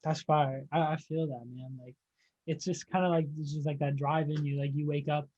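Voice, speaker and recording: Young man, slightly high pitch, casual interviewer, slangy, laid-back, affirming. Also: level tone, normal speed, warm tone, lo-fi sound.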